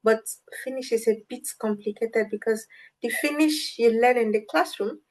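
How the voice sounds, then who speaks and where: Earnest Nigerian woman, higher voice. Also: normal speed, a little mellow. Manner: earnest, reflective, lightly amused. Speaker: guest, language advocate. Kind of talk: interview answers.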